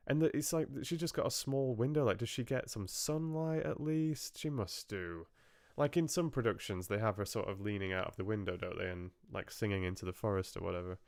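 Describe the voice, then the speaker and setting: Male book club host, smooth and fairly low, close dry sound, rambling chatty delivery with a joking streak. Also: dry recording. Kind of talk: casual chat, musing on fairy tales with live chat.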